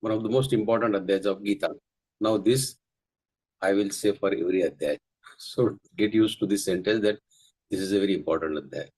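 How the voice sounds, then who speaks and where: A man's voice: on the low side, a little fast, darkish tone, slightly rough. A man with an Indian English accent starting a scripture lecture with a prayer, mixing in Hindi and Sanskrit. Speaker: spiritual teacher.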